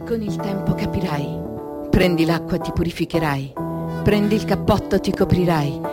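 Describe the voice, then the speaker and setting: A woman playing a lonely, searching young girl in a poetic radio drama, speaking intimately in a husky voice. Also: on the low side, normal speed, loud music behind the voice, lightly acted. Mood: dreamy. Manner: intimate, lyrical, questioning.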